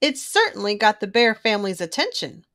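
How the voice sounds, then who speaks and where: A woman reading a children's storybook aloud in an expressive, emphatic way, drawing out key words. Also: medium pitch, a little fast. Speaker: children's book reader.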